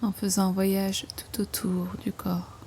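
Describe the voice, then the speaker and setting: Female meditation guide, dark soft tone, level pitch, hushed phrase endings, faint ambient noise behind. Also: level tone, measured pace. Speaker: yoga nidra guide. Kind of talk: guided meditation, slow soothing instruction.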